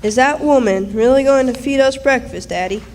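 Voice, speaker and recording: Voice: sits high, normal speed, a little mellow. A performer playing a small, sickly, questioning boy in a radio drama, recorded in a reverberant hall. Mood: uncertain. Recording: echoey hall, distant, background noise.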